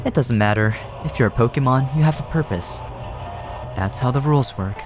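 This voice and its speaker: A man voicing a lofty, coolly amused creator-god creature in a video game, with measured, pompous delivery. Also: mid-range voice, natural voice. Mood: solemn, dismissive. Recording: game music behind the voice.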